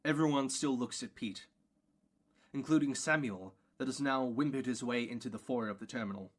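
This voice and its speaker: A man's voice: mid-range voice, normal speed, close to an everyday voice. A man reading scripted stage directions as the narrator of an audio play.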